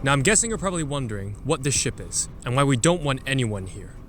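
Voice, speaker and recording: A man's voice: medium pitch, expressive, fast talker, noisy background, lightly acted. A man playing a suspicious, stern spaceship guard with a curt, challenging manner in a sci-fi audio drama.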